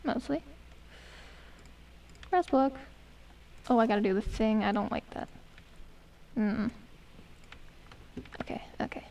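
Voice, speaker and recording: Young woman streamer, hoarse and gravelly, high-pitched, chatty and self-deprecating. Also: takes it slowly, long pauses, dark tone, distant mic, slight background noise. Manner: chatty, self-mocking, hums and mutters to herself. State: losing her voice, hoarse. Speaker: gaming streamer. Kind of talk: casual gameplay commentary.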